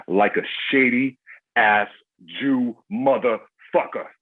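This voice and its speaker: An actor playing a cocky, foul-mouthed crime boss with swaggering street talk in a screenplay table read. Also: male voice, medium pitch, extremely slow, slightly rough, narrow, tinny audio. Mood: boastful, menacing.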